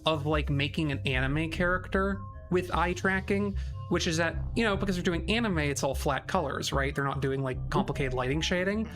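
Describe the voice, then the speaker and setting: A man's voice: on the high side, moderate pace, fairly bright tone, soft background music. A man in his thirties chatting casually on a live tabletop game stream, rambling and poking fun at himself. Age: 30s. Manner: rambling, self-mocking. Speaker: player.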